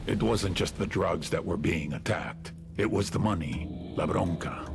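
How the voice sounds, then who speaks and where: Very deep, gravelly cartel boss. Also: male voice. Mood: stern, ominous. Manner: deliberate, ominous, terse. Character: deep, cold, menacing cartel boss telling his story. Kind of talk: dramatic crime-story narration. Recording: music behind the voice.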